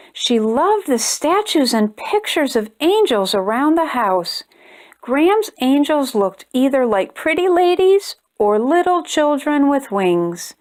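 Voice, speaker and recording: A woman hosting a children's storytime, reading a picture book aloud with expressive, sing-song storytelling. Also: high-pitched. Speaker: storytime host.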